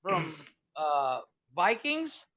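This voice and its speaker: Man, podcast co-host, bright and fairly high voice, casual profane banter, first language Spanish. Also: very animated, extremely slow. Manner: loose, laughing, swears freely.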